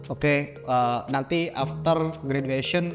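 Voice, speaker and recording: A man's voice: medium pitch, takes it slowly. A man with an Indonesian accent hosting a podcast, interviewing casually in chatty, colloquial speech with frequent tag questions. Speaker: podcast host. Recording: very reverberant room, clear music underneath. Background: music.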